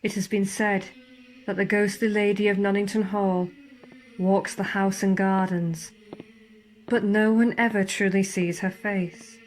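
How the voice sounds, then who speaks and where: A woman narrates a ghost story in a theatrical, suspenseful manner, with deliberate pauses and formal, old-fashioned wording. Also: medium pitch, level tone, a little hiss or hum, low-quality recording. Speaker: ghost walk guide and storyteller. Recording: clear background ambience under the voice. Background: spooky horror ambience sounds.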